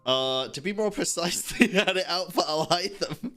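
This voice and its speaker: A man's voice: sits high, expressive, normal speed, music in the background. A man reacting to a game as a streamer, with casual, chatty, slangy commentary and some swearing. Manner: chatty, slangy, profane interjections.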